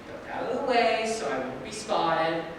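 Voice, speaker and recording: A woman's voice: fairly low pitch, normal speed. A woman playing a dim, lazy, boastful palace guard who rambles and gossips in a stage play reading. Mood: rambling. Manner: rambling, excitable, forgetful of names. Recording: very echoey hall from far off, noisy.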